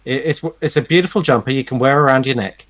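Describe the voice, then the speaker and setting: A man's voice: mid-range voice, quick pace, a bit bright, no room sound. A man chatting casually as a co-host on a radio show. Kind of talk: casual chat.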